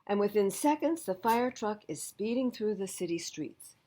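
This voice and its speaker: A woman reading a picture book aloud to young children as a library storyteller, pausing to explain things simply. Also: mid-range voice, lively intonation, mellow.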